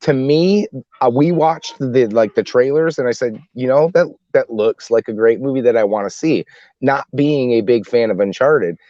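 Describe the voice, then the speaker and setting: A man talks casually as one of a podcast panel, in a halting way with frequent restarts. The sound is dry and somewhat dull, like a lo-fi recording. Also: medium pitch, dark tone, no room sound. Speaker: podcast co-host.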